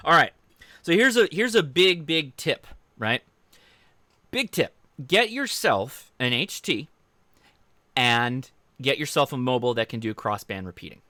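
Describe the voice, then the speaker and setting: A man's voice: mid-range voice, pushed and bright, clear, resonant tone, no room sound. An American man hosting a casual livestream, explaining in an informal, rambling, enthusiastic way as he answers viewer comments. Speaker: host of a ham radio channel.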